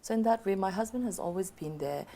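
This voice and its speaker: A woman's voice: mid-range voice, fast talker, dark tone, a little hiss or hum. A woman in her thirties from Bhutan with a Bhutanese accent, a guest speaking openly and chattily in an interview while her voice is partly gone from illness. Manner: open, chatty, frequent "you know". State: partly lost voice, sick. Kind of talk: candid personal interview.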